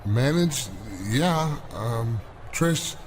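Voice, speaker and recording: A man's voice: mid-range voice, lively intonation, very slow, a little hiss or hum. An actor playing a cocky, boastful wrestler bragging about his size.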